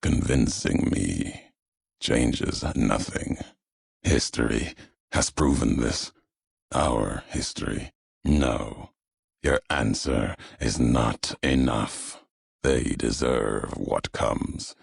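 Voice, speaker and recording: Grim vengeful wanderer from a video game, super gravelly, slow and solemn, cryptic speechy delivery. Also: male voice, no echo, studio quality, exaggerated voice. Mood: grim, judging. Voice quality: deep, gravelly, husky. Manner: solemn, measured, cryptic oratory with weighted pauses. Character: brooding, vengeful male wasteland messenger, grave and fatalistic. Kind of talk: dramatic video game character monologue.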